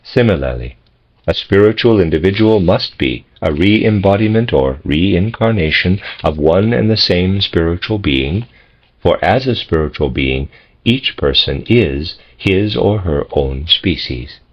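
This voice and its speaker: A man reading a philosophical text as scripted narration, in measured, deliberate phrases with pauses. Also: low voice, lively intonation, slightly rough. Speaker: audiobook reader. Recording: dull, lo-fi audio.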